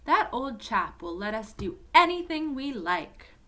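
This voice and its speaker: A woman's voice: fairly high pitch, big pitch swings, measured pace, bright, forceful tone, voice pushed up, full character voice. A woman voicing a confident, adventurous schoolboy, the eldest brother, in an emphatic, eager and bossy storybook reading.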